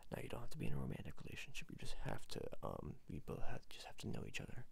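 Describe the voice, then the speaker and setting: A man playing an eccentric, pushy, cryptic ring salesman in an ASMR roleplay, halting and repeating his phrases over and over, close to the microphone. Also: extremely low pitch, wildly swooping pitch, vocal fry, breathy edge. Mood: playful, insistent.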